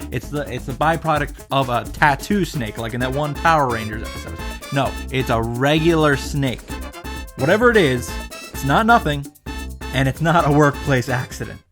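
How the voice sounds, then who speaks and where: Male recap host, mid-range voice. Casual comedic narration, sarcastic and profane, light music underneath. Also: mid-range voice. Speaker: host of visual novel reaction summaries.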